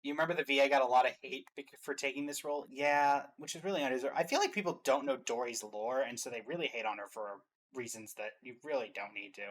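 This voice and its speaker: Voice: mid-range voice, quick pace, hardly any pauses. A host giving casual, opinionated fan commentary in a reaction show.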